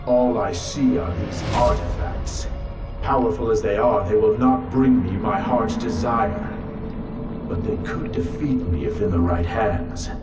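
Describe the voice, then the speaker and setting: A man's voice: lowish voice, close to an everyday voice. A man playing an arrogant, power-hungry supervillain in a video game, with a pompous, theatrical and imperious delivery. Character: arrogant, menacing supervillain sorcerer-scientist, grandiose and power-hungry. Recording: echoey, distant, loud music behind.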